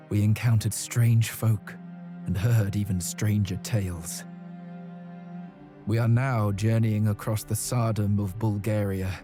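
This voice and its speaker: A man's voice: on the low side, close to an everyday voice. A man playing a devout, brooding medieval warrior, narrating a quest solemnly in a video game. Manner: solemn, pious. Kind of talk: dramatic scripted narration in a game. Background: music.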